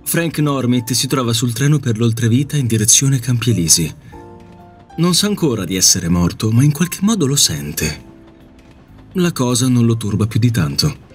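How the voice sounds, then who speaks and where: A man giving scripted narration for an audio drama. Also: medium pitch.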